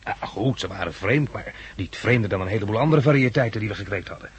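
Casting: anxious, musing survivor, very husky, animated intonation, 1960s radio drama. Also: male voice, lowish voice, fast. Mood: bitter, reflective. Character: thoughtful male plant-grower survivor, anxious, searching for his woman. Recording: vintage 1969 radio play recording.

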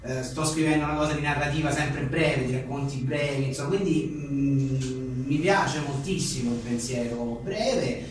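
A man's voice: mid-range voice, moderate pace, few pauses. A man being interviewed as a writer, talking conversationally in rambling, hesitant phrases full of little tag questions. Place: echoey venue at a live book presentation. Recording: echoey room, distant mic, faint noise.

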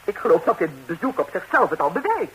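A woman's voice: lowish voice, big pitch swings, fairly quick, low-quality recording. An actress playing a nervous, nosy married lady in a radio comedy, talkative and stammering as she repeats herself. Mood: brazen. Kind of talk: 1960s radio comedy acting in Dutch. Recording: hissy 1960s radio recording.

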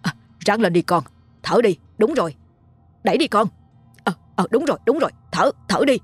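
A woman's voice: mid-range voice, expressive, moderate pace, husky, no echo, soft background music, pitched above the performer's own voice. A woman voicing a kind older male driver who anxiously urges and coaxes a woman in labor, in an audio story. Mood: alarmed, coaxing. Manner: urgent, coaxing, anxious. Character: kind older man driver, flustered but caring.

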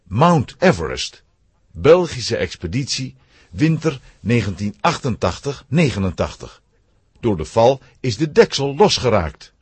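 Animated, slightly husky male storyteller. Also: mid-range voice, measured pace, dry recording. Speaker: narrator. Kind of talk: scripted children's story narration.

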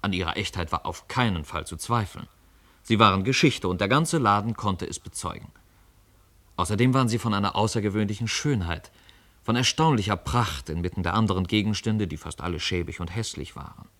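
A man narrating a literary radio play as a scripted storyteller, measured and articulate. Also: on the low side, a bit bright.

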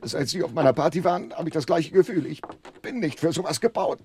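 An actor playing a nervous, reluctant accomplice in a crime radio drama, anxious and unsure of himself. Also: male voice, fairly high pitch, quick pace, a little mellow, slight background noise, natural voice. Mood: uneasy, confessional.

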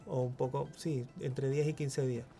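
A man's voice: mid-range voice, little pitch movement, normal speed, gravelly, mellow, soft background music. A man in his forties, born in Chile, speaking as a guest muralist in a radio interview, often repeating words as he searches for them. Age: 40s. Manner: hesitant, repeats words while thinking.

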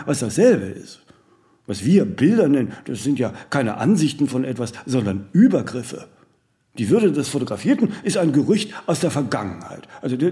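An actor playing a vain, ageing celebrity in a radio play, imperious, aloof and rambling in aphorisms with little tag questions. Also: male voice, fairly high pitch, dark tone. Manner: haughty, rambling, aphoristic, with clipped tag questions and self-corrections. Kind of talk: radio play monologue in dialogue.

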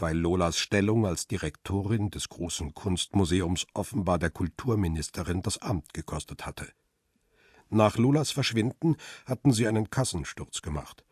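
Radio crime drama: jaded photographer, middle-aged, low rough-edged voice, sober self-critical first-person narration, close dry sound. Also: male voice, darkish tone, no echo. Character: jaded middle-aged male press photographer narrating his own story.

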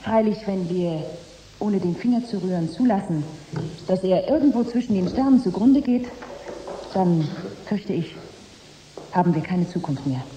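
Actress doing defiant businesswoman in a radio play, very mellow dark tone, formal and emphatic, echoey room. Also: on the low side, animated, moderate pace, long pauses, slight background noise, close to an everyday voice. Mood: grave, warning. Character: resolute businesswoman Marianne, grave, defiant, warning negotiator. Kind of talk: radio drama acting.

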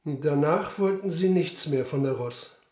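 An actor in a radio drama playing a restrained middle-aged police inspector, curt and matter-of-fact in dialogue and narration. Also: male voice, fairly high pitch, moderate pace, a little mellow, no echo, lightly acted. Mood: curt, probing. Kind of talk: radio drama acting with first-person narration.

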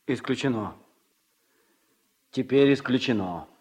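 Slow, husky, boastful drifter. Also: male voice, medium pitch, expressive, a little mellow. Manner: unhurried, colloquial, self-satisfied. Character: footloose odd-job man, boastful, self-pitying womanizer. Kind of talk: Soviet radio drama acting.

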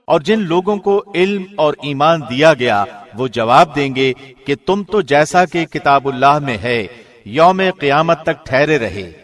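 A man calmly reading a scripted translation in measured phrases, recorded in a studio. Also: on the high side. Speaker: translation narrator. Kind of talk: scripted narration.

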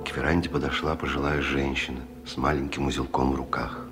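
An actor narrating a radio drama as a grave, unhurried storyteller. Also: male voice, extremely low pitch, little pitch movement. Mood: measured, somber. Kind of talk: scripted radio play narration.

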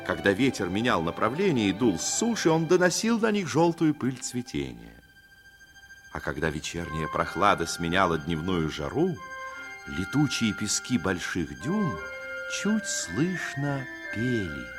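A man narrating a 1950s radio drama as a storyteller, phrasing expressively and deliberately with dramatic pauses. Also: on the low side, huge pitch swings. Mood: lyrical. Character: male storyteller fond of the coast and its people. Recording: 1950s radio recording, faint music behind.

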